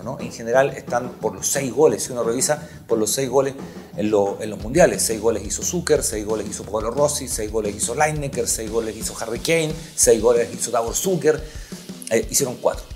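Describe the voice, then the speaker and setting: A man hosting a sports podcast, telling stories emphatically and repeating key points. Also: medium pitch. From Chile. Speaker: podcast host, sports journalist.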